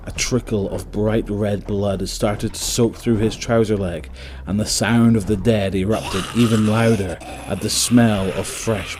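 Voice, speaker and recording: A man's voice: lowish voice, a little mellow, a little hiss or hum. A man narrating a scripted dramatic story as the narrator of an audio drama.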